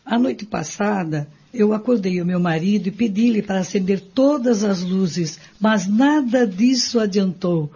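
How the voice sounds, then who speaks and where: A woman playing a weary, anxious married woman in a radio drama, with a husky, creaky voice. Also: mid-range voice, unhurried, hardly any pauses, mellow. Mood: weary, troubled. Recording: dull lo-fi radio play recording.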